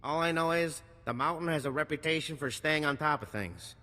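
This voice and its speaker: Sharp, bright wisecracking arms dealer. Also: male voice, on the high side, a little fast, in a large hall. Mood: sardonic. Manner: slick, sardonic salesman patter. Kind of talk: video game cutscene acting.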